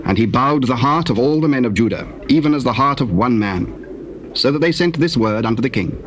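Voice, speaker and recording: A man narrating dramatized scripture in a solemn, measured and formal way. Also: medium pitch, animated. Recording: noisy old cassette tape.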